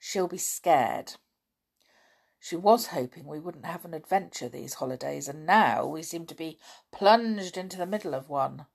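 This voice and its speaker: Woman voicing a sensible schoolboy, calm, reasoning, low voice, slightly husky. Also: measured pace, full character voice. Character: sensible, steady boy leader Julian.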